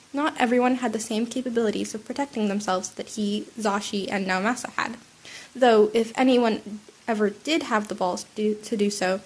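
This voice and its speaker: A woman with a cold, slightly sniffly, reading a fan fiction story aloud at home with small restarts. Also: a little high. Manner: soft, with small restarts and fillers. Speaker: podfic reader.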